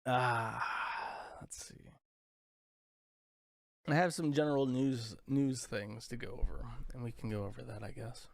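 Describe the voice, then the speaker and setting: A man's voice: mid-range voice, a little slow, gravelly, pauses often, dry recording, studio quality. A young man hosting a casual livestream, rambling, crude and sarcastic as he talks to chat. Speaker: livestream host.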